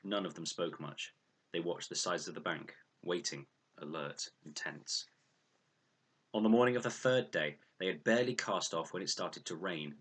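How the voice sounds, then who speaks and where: A man narrates a storybook adventure expressively. His voice sits low, with a bright, forceful tone and a husky grain. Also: moderate pace. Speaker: audiobook reader.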